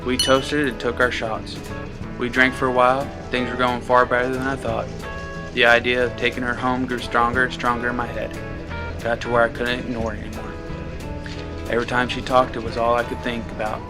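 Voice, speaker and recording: A man's voice: mid-range voice, flat delivery, fairly quick. A man giving a dramatic first-person reading of a story as its narrator. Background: guitar music.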